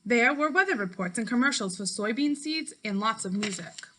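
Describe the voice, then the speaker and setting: A woman with a bright, slightly high voice reads aloud as a teacher would for schoolchildren. Her delivery is clear and expressive, aimed straight at her young listeners. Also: moderate pace. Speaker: teacher reading a book aloud to fifth graders. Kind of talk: read-aloud storytelling for children.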